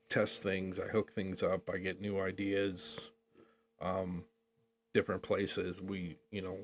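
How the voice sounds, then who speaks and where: Older male podcast co-host, low-ish voice, rambling jokey chat, faint background hum. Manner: rambling, jokey, laughs often. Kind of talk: casual chat.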